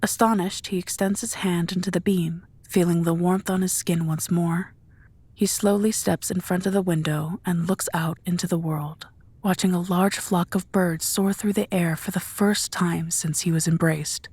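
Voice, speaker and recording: A woman narrates a dark audio drama from a script. Her voice sits low and has a husky, breathy texture. She describes each scene in a measured storytelling style. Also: fairly even intonation, no room sound.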